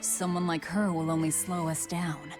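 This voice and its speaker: A woman's voice: low-pitched, level tone, normal speed, natural voice. An actress playing a cold, blunt, hardened young female fighter in a video game, speaking curtly and sternly. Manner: curt, stern. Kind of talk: dramatic video game voice acting. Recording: music behind the voice.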